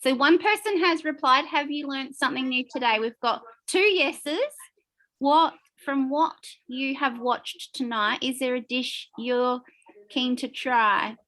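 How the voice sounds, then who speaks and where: A woman co-hosting an online class, upbeat and quick to laugh as she reads out poll results. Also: high voice, a bit bright, low-quality recording. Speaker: co-host.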